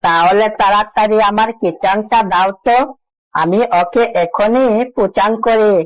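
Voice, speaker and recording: A woman's voice: mid-range voice, measured pace, dry recording, natural voice, rough recording. A woman voicing a cunning, confident father fox who reassures his family in a cartoon fairy tale. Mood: scheming, boastful.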